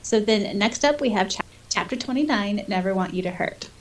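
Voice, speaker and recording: A woman's voice: mid-range voice, animated, brisk, recorded from a distance, a little hiss or hum, low-quality recording. A woman co-hosting a podcast, chatting casually and reading out quotes. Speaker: podcast co-host. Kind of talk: casual chat; reading out quotes and listener comments.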